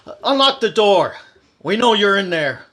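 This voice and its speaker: A man's voice: high voice, big pitch swings, moderate pace, a bit bright, heavily acted. A man playing a desperate, menacing armed man in an old-time radio thriller, urgent and suspicious. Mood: menacing, commanding.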